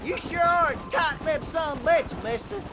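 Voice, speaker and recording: An actor playing a belligerent, needling western deputy with a sneering delivery in a video game. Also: male voice, very high-pitched, moderate pace, background noise. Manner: sneering, combative. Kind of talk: dramatic video game acting. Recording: game audio with background music.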